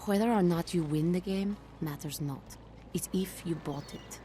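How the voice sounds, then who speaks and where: A woman's voice: on the low side, normal speed, mellow, a little hiss or hum, lightly acted. A woman playing a cryptic fortune teller in a video game, speaking in portentous riddles. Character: cryptic, ominous female fortune teller who sees the future. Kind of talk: video game dialogue acting.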